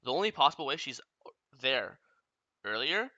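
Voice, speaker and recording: A man's voice: mid-range voice, big pitch swings, bright, forceful tone, dry recording, highs cut off. A young man coaching a player through a game replay, talking bluntly and often swearing as he explains. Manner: blunt, profane, rapid explaining.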